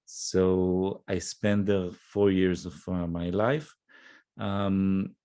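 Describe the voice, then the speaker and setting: A middle-aged Israeli man with an Israeli accent, a guest in an interview who speaks English as a second language and often pauses, restarts and says "uh". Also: sits low, steady tone, mellow, clean tone. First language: Hebrew. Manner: halting, many fillers and restarts. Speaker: guest, nonprofit CEO. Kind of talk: conversational interview.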